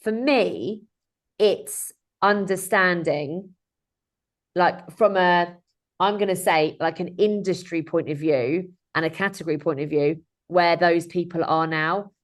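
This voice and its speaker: A woman's voice: lowish voice, slow pace. A woman in her thirties talking informally as a webinar host on a video call, chatty and rambling with frequent self-corrections. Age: 30s. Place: indoor room at home or office, on a video call. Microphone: video call microphone.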